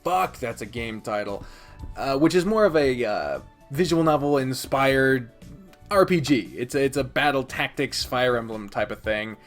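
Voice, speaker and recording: A man with a mid-pitched voice that moves up and down in a lively way. He talks casually as a reviewer, sardonic and quick to swear, often cutting into his own sentences. Faint music plays behind him. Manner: sardonic, sweary, self-interrupting. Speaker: video reviewer and podcast host. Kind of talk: casual opinionated countdown commentary to camera.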